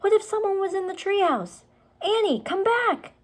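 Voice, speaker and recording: Woman acting a cautious young boy, storybook character, raised high pitch, urgent, pleading, exclaiming. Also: expressive, moderate pace. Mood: alarmed, pleading. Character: anxious, cautious young boy, the sensible brother. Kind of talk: children's storybook read-aloud.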